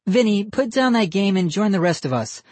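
A man voicing a brisk, commanding mother who organizes the children in a storybook reading. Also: high voice, expressive, a little mellow, dry recording, pitched above the performer's own voice. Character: bossy caring mother hosting kids.

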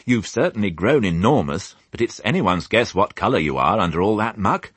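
Male narrator as a warmly fussing mother sheepdog, slightly raised, lively voice, storybook. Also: on the low side, normal speed, husky, dry recording, pitched above the performer's own voice. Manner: gentle, coaxing mother's tone. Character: motherly female sheepdog, gentle and proud.

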